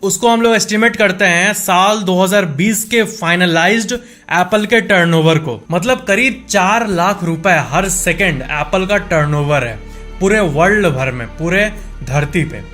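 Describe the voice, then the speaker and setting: A man presenting facts in an informal explanatory voice-over, speaking conversationally and directly to viewers, repeating points to make them clear. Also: high-pitched, measured pace, fairly bright tone. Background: background music.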